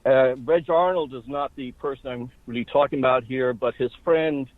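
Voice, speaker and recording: A man in his sixties, from Ireland, telling his life story as an interview guest in a measured way. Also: mid-range voice, no room sound. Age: 60s. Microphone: phone. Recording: tinny phone line.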